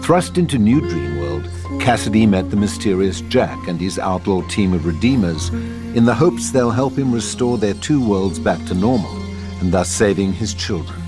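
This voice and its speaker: A man delivers scripted narration and stage directions for an audio drama. His voice is on the low side. Music is clearly heard behind him as he reads. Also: animated, normal speed.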